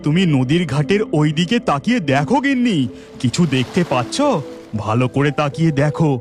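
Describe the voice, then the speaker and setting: A man's voice: a little high, very animated, fairly quick, music in the background. A man voicing a poor, devout village boatman in a cartoon story, earnest and exclamatory, with a Bengali accent. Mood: wondering, uneasy. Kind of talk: melodramatic cartoon story acting.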